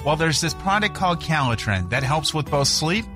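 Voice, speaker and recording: A man's voice: on the high side, very animated, moderate pace, music in the background. A man reading a radio commercial in a friendly, selling tone. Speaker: commercial announcer. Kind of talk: scripted ad read.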